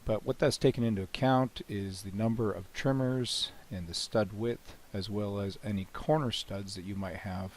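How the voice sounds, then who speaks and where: A man with a cold, hoarse and often clearing his throat, casually talking through a software demo with many "you know"s and restarts. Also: on the low side, moderate pace, hardly any pauses, a little hiss or hum. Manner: relaxed, rambling, frequent "you know" and restarts. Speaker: software developer demonstrating his plugin. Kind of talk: screen-recorded software walkthrough.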